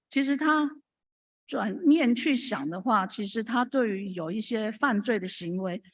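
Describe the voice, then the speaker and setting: A woman's voice: a little high, no echo. Woman, hesitant audience speaker, dry close mic. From Taiwan. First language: Mandarin Chinese. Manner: hesitant, many fillers. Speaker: audience member speaking from the floor.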